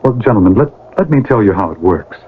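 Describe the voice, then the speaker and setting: An actor playing an earnest, righteous older brother, theatrical and formal in an old-time radio drama. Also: male voice, lowish voice, expressive, fast, lightly acted. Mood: pointedly polite. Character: earnest, righteous older brother, a pleading moralist, narrating his past. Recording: noisy, tinny vintage radio recording.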